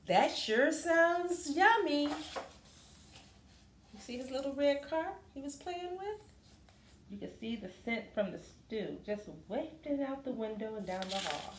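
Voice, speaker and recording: A woman reading a children's story aloud expressively, pausing to put questions to her listeners. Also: fairly high pitch, expressive, background noise. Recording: roomy, echoey sound.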